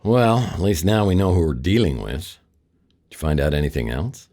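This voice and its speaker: A man playing a world-weary, wisecracking private detective in a noir radio drama, with a wry deadpan delivery. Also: sits low, moderate pace, big-room sound, full character voice. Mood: dry, businesslike. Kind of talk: noir radio drama, first-person narration and dialogue.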